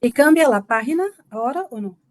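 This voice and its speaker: A woman's voice: fairly high pitch, lively intonation, a little fast, darkish tone, no echo, clean studio sound. A woman whose first language is Portuguese, speaking with a Brazilian accent and giving a polite, hesitant guest lecture over a video call. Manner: hesitant, polite, restarts often. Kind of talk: online lecture presentation.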